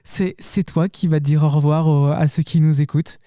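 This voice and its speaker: A man hosting a podcast interview, asking questions in a relaxed, unhurried way. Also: a little high, no room sound, studio quality. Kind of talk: casual interview.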